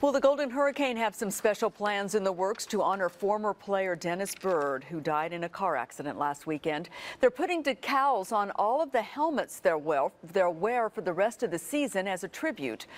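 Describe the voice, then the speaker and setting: A woman co-anchoring a local evening newscast, reading teases and story intros crisply. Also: mid-range voice. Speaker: news co-anchor.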